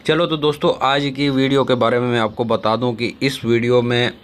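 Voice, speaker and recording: A man's voice: medium pitch, level tone, brisk, a bit bright, slight background noise. A man presenting a casual app tutorial as a YouTube host, chatting to viewers as friends.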